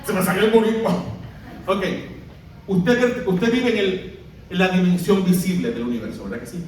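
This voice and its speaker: A high-voiced Puerto Rican man preaches to his congregation, asking them questions and having them read along aloud. His voice comes from some distance away, in a church hall full of strong echo. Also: moderate pace, a little hiss or hum. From Puerto Rico. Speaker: pastor. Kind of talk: sermon.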